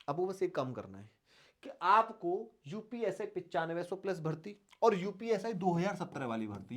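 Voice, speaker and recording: A man's voice: sits high, a bit bright. A man teaching an online exam-preparation lesson, instructing students affectionately, repeating his points and posing rhetorical questions. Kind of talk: online coaching lesson, strategy talk to students.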